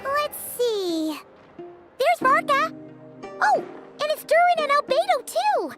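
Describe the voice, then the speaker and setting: A woman's voice: extremely high pitch, sing-song, slow pace, over music, exaggerated voice. A woman voicing a tiny, perky, inquisitive fairy companion in a video game. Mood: eager. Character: tiny chirpy childlike floating fairy companion, curious and eager. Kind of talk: scripted video game dialogue.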